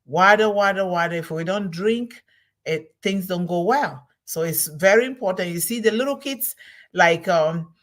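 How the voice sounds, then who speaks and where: A woman's voice: on the low side, moderate pace. A middle-aged woman from Cameroon, hosting a solo motivational talk, emphatic and repeating her key words. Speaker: host of a motivational talk series.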